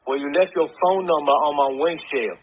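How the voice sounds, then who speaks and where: A woman's voice: low-pitched, a little fast, husky. A woman playing a pushy, sassy American car owner accusing someone in a scripted prank phone call. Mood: indignant, pushy. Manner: confrontational, sassy, scolding. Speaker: recorded prank-call character.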